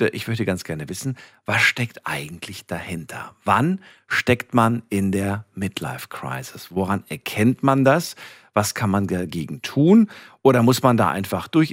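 Radio call-in host, man, lowish voice with very varied melody, addressing callers. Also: dry recording, clean studio sound. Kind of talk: late-night radio talk, addressing listeners and callers. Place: radio studio. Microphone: close studio microphone.

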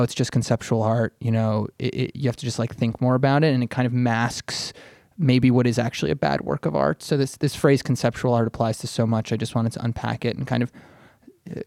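A man's voice: medium pitch, vocal fry, darkish tone, no echo, studio quality. A man in a casual discussion, explaining as he thinks aloud, often hedging and correcting himself. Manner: thinking aloud, halting and self-correcting, with many "kind of" hedges. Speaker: podcast panelist and arts writer.

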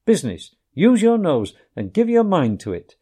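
A man's voice: sits high, wildly swooping pitch, breathy edge, voice pushed up, heavily acted. A man doing a kindly, hearty rat. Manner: warm, coaxing, brisk.

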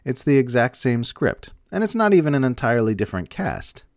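A man's voice: mid-range voice, sing-song, brisk, no echo, studio quality. A man reading a scripted political opinion essay aloud in an emphatic, sardonic way. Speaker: narrator reading political commentary.

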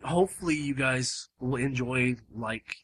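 A man hosting a casual podcast, his voice hoarse and starting to give out, rambling with lots of fillers and restarts. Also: medium pitch, measured pace. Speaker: podcast host. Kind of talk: casual chat. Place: home room.

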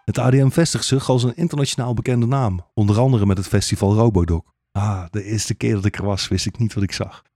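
Deep-voiced male storyteller, ironic and theatrical. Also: steady tone, a little fast, warm tone, no echo, studio quality. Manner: theatrical, ironic, mock-naive as if telling children. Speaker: narrator of a radio play. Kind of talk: satirical fairy-tale storytelling, scripted narration. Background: music.

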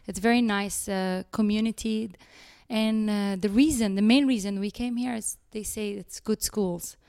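A middle-aged woman from Lebanon with a Lebanese accent, chatting as a guest about her business, speaking haltingly with fillers and restarts. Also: mid-range voice, fairly even intonation, darkish tone, smooth. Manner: halting, many fillers and restarts, nonstandard grammar. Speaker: guest, chocolate business owner. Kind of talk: casual interview chat.

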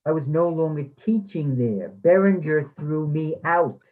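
A man's voice: a little high, lively intonation, a little slow, narrow, tinny audio. Phone-thin male actor as defensive English instructor. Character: defensive, haunted former English instructor, later retired. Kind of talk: readers theatre play reading.

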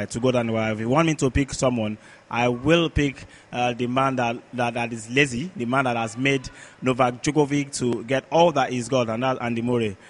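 Man, sports pundit, conversational analysis, mid-range pitch, halting, repetitive phrasing. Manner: halting, repetitive, searching for words.

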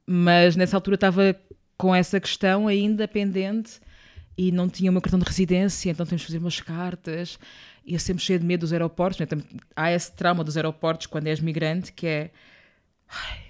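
A woman's voice: on the low side, flat delivery, fairly quick, a little mellow. A young woman from Angola, speaking as an interview guest, thoughtful, often circling back and adding small tag questions. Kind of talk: reflective interview conversation.

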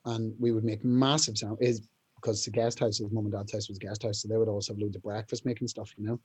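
A young man, a band's singer, telling rambling stories in a casual interview, clearing his throat often. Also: on the low side, little pitch movement, fast talker, a little mellow. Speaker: band singer, interview guest.

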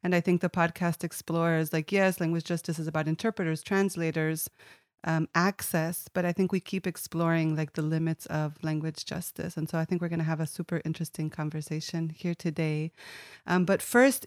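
A woman's voice: lowish voice, a little mellow, no room sound, clean studio sound. A woman hosting a podcast, enthusiastic and welcoming as she introduces her guests. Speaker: podcast host.

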